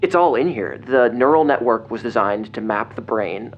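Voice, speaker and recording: A man playing an agitated investigator in an audio drama, speaking in a grave, halting, intense way over a phone. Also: medium pitch, animated, soft background music, lightly acted. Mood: urgent revelation. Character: agitated male investigator relaying grim secret documents by phone. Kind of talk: audio drama acting, partly reading documents aloud. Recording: dull lo-fi phone call, clear noise.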